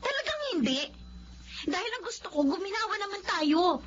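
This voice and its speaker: An ambitious young Filipino wife, with a very high, emotional, pointed voice. The radio drama recording is muffled, with a little noise behind her. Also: big pitch swings, moderate pace. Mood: nagging, scornful. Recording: muffled audio with faint background noise.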